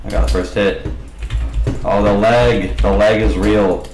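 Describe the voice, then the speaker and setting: A man with a slightly raspy voice giving casual commentary over his gameplay, mumbling asides as he plays. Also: lowish voice, steady tone, unhurried, darkish tone, roomy sound, poor audio. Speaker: gaming channel host.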